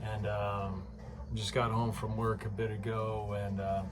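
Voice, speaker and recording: A man vlogging casually at home into his phone camera, chatty and excitable, with many fillers and frequent laughs. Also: fairly low pitch, measured pace, background noise.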